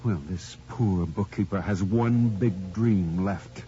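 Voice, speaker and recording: A bitter, murderously resentful husband in a radio horror drama. He broods in a deep, mellow-dark voice. The recording is dull, with a faint hum. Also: slow. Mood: menacing, brooding.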